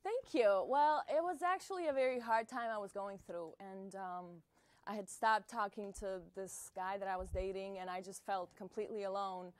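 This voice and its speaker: Young woman from bosnia, hesitant soft voice, lots of um and you know, dry sound. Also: mid-range voice, fairly even intonation, moderate pace, dry recording. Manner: soft-spoken, hesitant, trailing off with fillers. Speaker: singer-songwriter guest. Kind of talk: casual interview answers.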